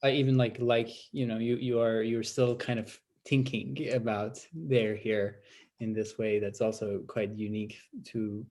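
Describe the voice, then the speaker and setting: A man from Iran, a native Persian speaker, interviewing in an academic conversation with halting, tentative phrasing as he searches for words. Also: fairly low pitch, flat delivery, darkish tone.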